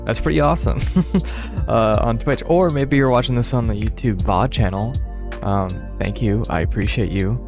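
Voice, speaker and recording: A man's voice: medium pitch, normal speed, music in the background. A young man streaming a building game, talking in a casual, rambling way with many fillers and a slightly stuffy voice. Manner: rambling, hesitant, frequent laughs and fillers. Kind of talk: casual gameplay commentary.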